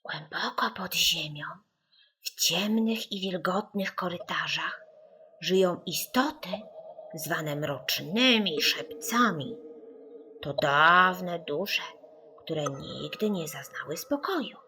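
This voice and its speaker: A woman voicing a young girl who tells a spooky legend in a hushed, slow, ominous near-whisper. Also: on the low side, a little hiss or hum, exaggerated voice. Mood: eerie.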